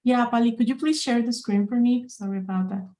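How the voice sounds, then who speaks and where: A woman's voice: a little high, brisk, dark tone. A woman hosting a live online career chat over a video call, upbeat and enthusiastic, with frequent fillers. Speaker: webinar host.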